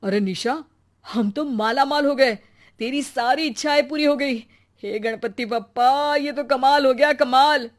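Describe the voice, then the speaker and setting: A woman's voice: sits high, unhurried. Woman acting devout, reassuring village husband. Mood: amazed, devout. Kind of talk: Hindi moral storybook acting.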